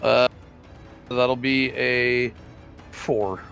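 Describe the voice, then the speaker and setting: A man's voice: mid-range voice, fairly even intonation, drawn-out words, vocal fry, a bit bright. A man chatting casually as a player in a tabletop roleplaying game. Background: music.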